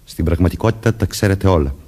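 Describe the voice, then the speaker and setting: A man's voice: low voice, mellow. An actor playing a murderer who poses as a commanding policeman, vengeful and childlike underneath, in a theatrical radio drama manner. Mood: accusing. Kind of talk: Greek radio drama acting.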